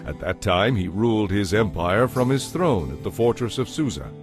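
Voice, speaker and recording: Low, expressive male narrator over music. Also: normal speed. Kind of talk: dramatic scripted Bible narration.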